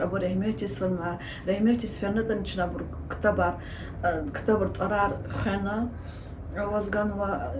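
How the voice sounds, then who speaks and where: A woman teacher answering interview questions at length, explaining steadily with many pauses and filler sounds. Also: medium pitch, background noise. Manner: explanatory, hesitant with frequent fillers. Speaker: Lezgian language teacher and researcher.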